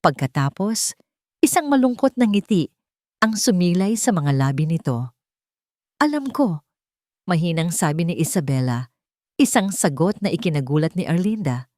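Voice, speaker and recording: A woman's voice: fairly low pitch, expressive, moderate pace, darkish tone, dry recording, clean studio sound. A woman reading a scripted, dramatic love story as its narrator.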